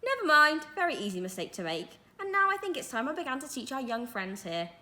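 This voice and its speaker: A woman's voice: high voice, big pitch swings, fairly quick, bright, forceful tone, voice pushed up, full character voice. A woman voicing a polite, cheery old male magician who arrives as a new teacher.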